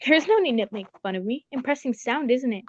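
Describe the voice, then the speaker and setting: Dull dry recording, woman acting genial gentleman storyteller, high-pitched, old-fashioned, polite, sentimental, radio drama. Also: animated, a little fast, no echo. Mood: curious, sympathetic.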